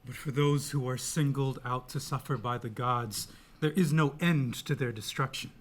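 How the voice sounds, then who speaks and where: A man reading as a grave Greek tragedy chorus speaker, measured and declamatory, telling of doom. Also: medium pitch, lightly acted. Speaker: chorus member.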